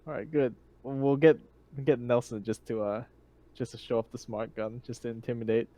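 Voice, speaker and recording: A man's voice: medium pitch, fairly even intonation, moderate pace, mellow. Male gamer, middle register, casual conversational play at a tabletop session. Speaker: tabletop game player. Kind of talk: casual game chat.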